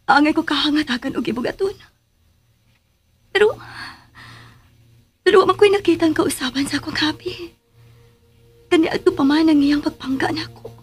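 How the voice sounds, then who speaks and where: An actress with a Filipino accent playing a loving but uneasy young wife in a radio drama, musing hesitantly. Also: female voice, sits high. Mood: troubled, pensive. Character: loving young wife, uneasy and secretly doubting her husband. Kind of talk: Philippine radio drama acting.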